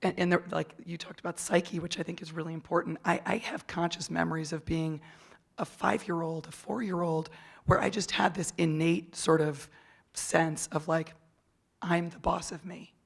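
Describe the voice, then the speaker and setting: Low, gravelly-voiced woman on a panel. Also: level tone, normal speed. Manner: candid, many restarts and fillers. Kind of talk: conference panel discussion. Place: conference hall stage.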